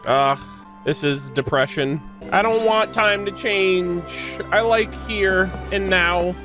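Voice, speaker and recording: A woman's voice: on the low side, slow. A young adult woman chatting casually and expressively as a streamer reacting to a game, with mock-dramatic exclamations. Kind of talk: casual livestream reaction chat. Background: faint game music.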